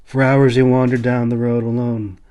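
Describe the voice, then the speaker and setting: A man's voice: mid-range voice, little pitch movement, normal speed, mellow. A man narrating a fantasy adventure as a scripted audiobook, in a solemn, theatrical manner. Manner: solemn, theatrical, swells on action and drops for somber passages. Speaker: audiobook narrator, author reading own book. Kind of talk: dramatic scripted fantasy storytelling.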